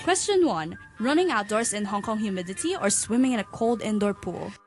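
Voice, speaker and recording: A woman's voice: medium pitch, expressive, a little fast, soft background music. A woman co-hosting a podcast, presenting segments and questions in a scripted interview style. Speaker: podcast co-host.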